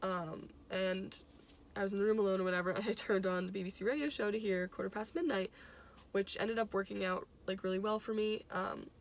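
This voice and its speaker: A young adult woman casually telling a personal story in an animated, giggly way, acting out bits of dialogue as she goes. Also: mid-range voice, fairly quick. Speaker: teacher and music fan telling a personal anecdote.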